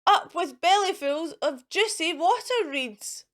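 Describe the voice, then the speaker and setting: A jolly, friendly duck-billed dinosaur , put on by a woman reading to children, hooting out exclamations in a high, swooping voice. It sounds cheerful and welcoming, drawn out in storybook fashion. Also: lively intonation, slow pace, a bit bright, full character voice. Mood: jolly welcome.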